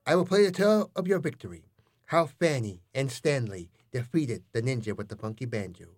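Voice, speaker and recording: A man's voice: medium pitch, lively intonation, a little fast, darkish tone, close to an everyday voice. A man voicing a dramatic male ninja who speaks in grand, solemn pronouncements. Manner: melodramatic, grandiose.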